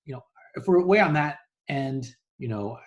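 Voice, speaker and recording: A man with a mid-pitched voice talks as a guest investor in an interview. He explains things in a casual, conversational way, often voicing imagined thoughts to illustrate his points. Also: animated, moderate pace, phone-quality sound.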